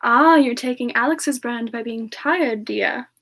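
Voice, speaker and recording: A woman acts out a sweet young female character hosting a soft semi-ASMR livestream. Her voice is high and her pace is leisurely. She is gentle and affectionate, treating each listener as a dear friend. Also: a little slow. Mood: sweet, shy. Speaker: streamer answering chat and singing requests.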